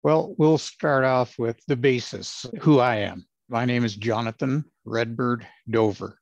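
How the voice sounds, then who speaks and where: A man in his sixties, a retired ranger, telling his stories in a measured, plain-spoken way with many fillers. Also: medium pitch, vocal fry, dry recording, highs cut off. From Los Angeles, United States. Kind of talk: casual interview storytelling.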